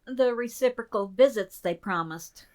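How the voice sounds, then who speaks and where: A woman's voice: a little high, moderate pace, close to an everyday voice. A woman in a community radio play, playing an earnest assistant code breaker in a conversational way. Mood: musing. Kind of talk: community theater radio drama dialogue.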